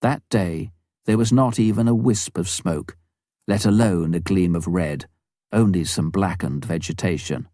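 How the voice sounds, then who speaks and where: A man reading a missionary story as an audiobook narrator, with a measured storytelling delivery. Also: deep voice, dark tone, dry recording, studio quality.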